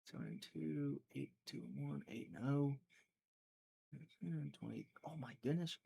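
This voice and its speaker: A man hosting a live prediction stream, chatting casually, thinking out loud and talking to his viewers, sometimes trailing off into a mutter. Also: medium pitch, unhurried, vocal fry, breathy edge. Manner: chatty, thinks aloud, talks to chat.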